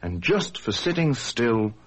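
A man's voice: medium pitch, big pitch swings, slow pace, husky, exaggerated voice, rough recording. An actor playing an intense, brusque, obsessive male painter, proud and lovelorn, in an old-time radio drama. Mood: brusque, commanding. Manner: abrupt, imperious, impassioned.

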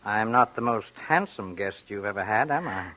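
A man playing a menacing intruder in a radio suspense drama, speaking with formal mock courtesy and hesitant pauses. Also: on the low side, lively intonation, sharp tone, rough recording. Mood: mock-courteous menace. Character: menacing, mannered, scarred male intruder posing as guest. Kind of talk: old-time radio suspense drama acting.

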